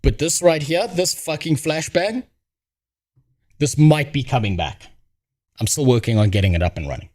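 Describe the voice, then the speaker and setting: A man's voice: on the high side, animated, moderate pace, long pauses, darkish tone. A man hosting a stream, giving a blunt, profane, rambling reaction commentary. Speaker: streamer host.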